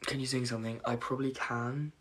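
A young man with a croaky voice chatting casually on a phone livestream from his bedroom at night, reading comments aloud and apologizing often. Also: mid-range voice, flat delivery, quick pace, fairly bright tone. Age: young adult. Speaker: livestream host. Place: dim bedroom at night, indoors. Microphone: phone camera at arm's length.

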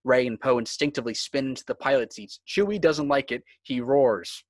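A man's voice: mid-range voice, brisk, no echo. A man reading screenplay stage directions as dramatic scripted narration.

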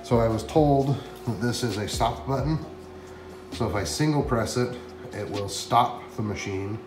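Excited man touring his print shop. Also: mid-range voice, measured pace, noisy background. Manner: excited, chatty and explanatory, thinking aloud. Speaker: print shop owner and vlogger. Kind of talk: casual walkthrough vlog. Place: large echoey print shop room with concrete floor. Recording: echoey room with music over it. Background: background music.

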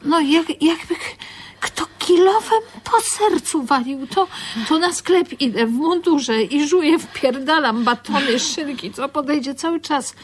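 An actress playing a coarse, lovesick shop guard in a radio drama, dreamy and halting, repeating her words. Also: sits high, a little hiss or hum. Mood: agitated.

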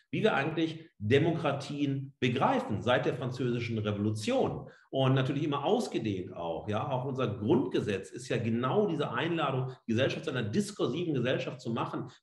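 A man giving an explanatory slide lecture as a professor, speaking emphatically with asides. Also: medium pitch. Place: indoor room, seated on camera. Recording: roomy, echoing indoor sound.

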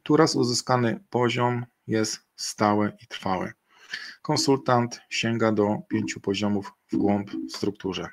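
Middle-aged man, gravelly webinar presenter on a webcam, halting delivery full of fillers. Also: on the low side. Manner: halting, many fillers and restarts. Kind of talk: slide presentation. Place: indoor room. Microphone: video call webcam.

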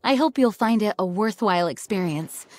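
Casting: woman as dutiful young knight captain, anime game; formal, measured, earnest, medium pitch. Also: animated, no room sound, clean studio sound, lightly acted. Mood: courteous, informative. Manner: composed, formal, measured explaining. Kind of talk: anime video game voice acting.

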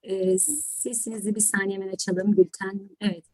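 A woman's voice: medium pitch, steady tone, normal speed, a loud crowd. A woman hosting an online event, speaking in a polite, formal, thankful manner. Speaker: host and teacher. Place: online video call.

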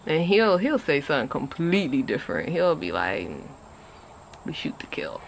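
A woman in her twenties chatting casually to a phone camera on an outdoor porch, animated and rambling. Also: moderate pace, gravelly, a little hiss or hum. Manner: animated, chatty, rambling with lively pitch jumps. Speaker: vlogger. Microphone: phone camera at arm's length.

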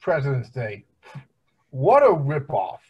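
A man voicing a lazy, grumbling middle-aged cartoon dad with a husky, whining delivery. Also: mid-range voice, wildly swooping pitch, takes it slowly, lo-fi sound, heavily acted. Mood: indignant. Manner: whining, indignant.

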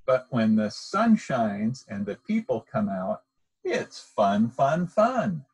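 A middle-aged man reading a children's picture book aloud in a deliberate, expressive storytelling manner, recorded outdoors. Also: medium pitch, slightly rough, soft background music. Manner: deliberate, expressive delivery for young listeners. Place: outdoors, in an open wooded park.

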